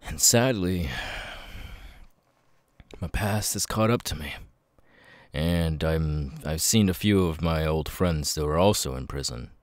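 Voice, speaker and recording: Guy playing a troubled apologetic ex-con with tics, super low voice, slow and stammery, asmr roleplay. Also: no echo. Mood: confiding, hesitant. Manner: halting, stammering, sudden loud tic outbursts. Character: apologetic, troubled male ex-convict with involuntary tics. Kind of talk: ASMR audio roleplay, halting confiding monologue.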